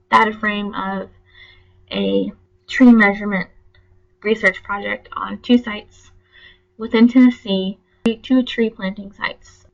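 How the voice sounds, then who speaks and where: A young woman with a stuffy nose from allergies, giving a recorded class presentation in a plain, slightly halting way with frequent ums and self-corrections. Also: medium pitch, gravelly, a bit bright, muffled recording. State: congested from allergies. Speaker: student presenter.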